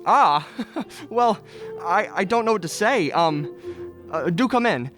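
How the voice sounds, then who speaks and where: Casting: man as welcoming young nephew, radio play, high animated voice, faint music behind. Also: slow pace, full character voice. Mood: surprised, flustered. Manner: hesitant, exclamatory. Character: flustered, welcoming young nephew hosting Christmas dinner. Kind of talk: old-time radio play acting.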